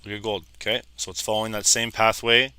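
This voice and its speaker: Guy in his 30s, a bit hoarse, bright forceful voice, low pitch, dry close mic, chatting through charts. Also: level tone, fast, no echo. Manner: casual, repeats "guys" and "like I said". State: slightly hoarse, losing his voice. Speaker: trading educator. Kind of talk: live trading screen commentary.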